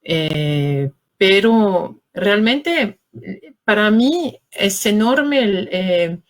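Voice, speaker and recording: A woman from France, a journalist being interviewed, speaking with a French accent in a measured, hesitant way as she looks for words. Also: mid-range voice, lively intonation. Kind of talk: spoken interview answer.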